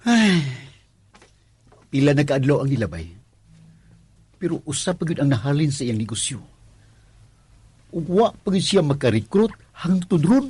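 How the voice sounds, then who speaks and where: A harried Filipino husband in a radio drama, speaking Cebuano-accented lines. His voice is fairly high and husky, and he stammers and exclaims as he frets. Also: very animated, a little slow, long pauses, a little mellow, exaggerated voice. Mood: glum. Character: flustered Filipino husband and condo guard, worried about money. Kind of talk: Cebuano radio drama acting with narrated asides.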